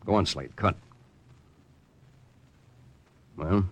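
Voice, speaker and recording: A steady secret agent in a vintage radio drama. He has a deep voice and a composed, businesslike, clipped delivery. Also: moderate pace. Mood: tense, guarded. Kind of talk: 1950s radio drama acting.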